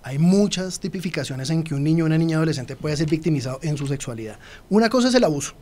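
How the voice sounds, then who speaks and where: Un hombre colombiano con acento colombiano, invitado experto en una entrevista de radio, que explica con calma y se dirige directamente a los oyentes. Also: male voice, a little high, fast. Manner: explanatory, addresses listeners directly. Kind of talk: radio interview.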